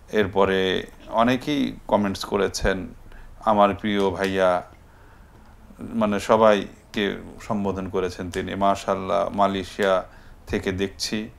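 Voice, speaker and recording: A man with a Bangladeshi accent hosting a festive phone-in show, speaking politely with hesitant pauses. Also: low voice, level tone, gravelly. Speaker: live TV show host.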